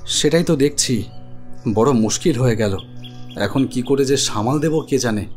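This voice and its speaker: A man plays a troubled idol-maker narrating his own ghost story for an animated horror tale. His voice sits at a middle pitch, expressive and anxious in its telling. Music runs beneath the words. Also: moderate pace, lightly acted. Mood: worried. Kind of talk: dramatic first-person horror story narration. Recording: studio voice over music bed.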